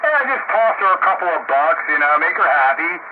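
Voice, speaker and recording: High-ish older American man on noisy radio. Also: fast. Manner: animated storyteller, acting out reported dialogue. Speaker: amateur radio hobbyist. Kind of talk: casual on-air radio chat. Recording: noisy, narrow-band shortwave radio transmission. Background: loud radio static.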